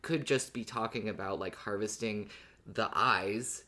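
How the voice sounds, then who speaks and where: Mid-pitched podcast co-host chatting playfully. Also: unhurried, sharp tone. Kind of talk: casual fan discussion chat.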